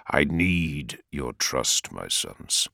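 Man voicing a solemn demigod lord, very low and quiet, measured and grave, dry recording. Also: big pitch swings, gravelly, dry recording, exaggerated voice.

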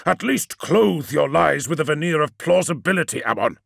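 A man's voice: on the high side, expressive, moderate pace, gravelly, no echo, pitched above the performer's own voice, heavily acted. A towering male demigod warlord, imperious and broken by anguish. His raised voice swings widely as he declaims, recorded dry and close. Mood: imperious fury.

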